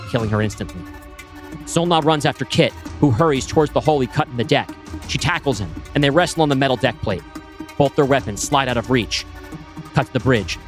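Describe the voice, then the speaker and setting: A man reading his screenplay aloud, with brisk scene-setting narration. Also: mid-range voice. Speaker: writer pitching a screenplay.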